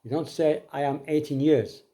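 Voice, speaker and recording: A man teaching an English grammar lesson, reading each example sentence and then repeating it in a slow, deliberate way. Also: on the high side, fairly even intonation, warm tone.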